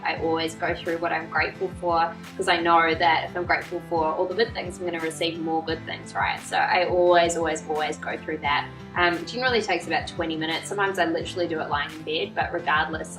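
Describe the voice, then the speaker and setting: Upbeat young woman, echoey and distant. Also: fairly low pitch. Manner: upbeat, gushing, heavy on fillers. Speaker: entrepreneur and speaker. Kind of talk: casual vlog and workshop talk.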